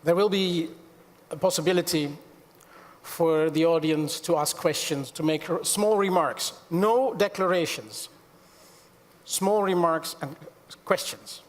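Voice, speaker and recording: A middle-aged man chairs a panel in a large auditorium, his fairly high voice carried by a long hall reverb. He speaks formally, corrects himself mid-phrase and drops in dry little asides. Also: unhurried. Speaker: panel moderator. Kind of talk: conference panel moderation.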